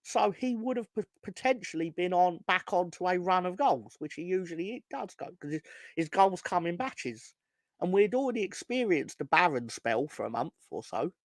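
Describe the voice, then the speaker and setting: A hoarse man ranting on a casual football fan podcast, swearing and rambling with many restarts. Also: high-pitched, big pitch swings, normal speed, dry recording. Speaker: podcast co-host.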